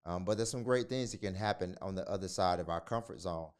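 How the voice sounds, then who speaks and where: A man's voice: low voice, fast, smooth. A man hosting a podcast interview, asking questions with a lot of hesitation and fillers. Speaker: podcast host. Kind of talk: casual interview.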